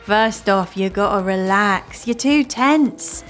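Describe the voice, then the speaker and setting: A woman's voice: mid-range voice, slow, a bit bright, faint music behind. A woman playing a cocky, streetwise thief who talks in wry, teasing slang in a fantasy audio drama. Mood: brisk instruction.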